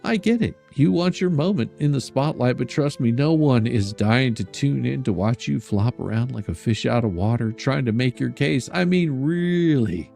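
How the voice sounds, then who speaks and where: A man's voice: mid-range voice, lively intonation, moderate pace, creaky, hardly any pauses, warm tone, soft background music. An older man hosting a stream, reading a letter aloud in a sarcastic, mocking and theatrical way. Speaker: streamer and host.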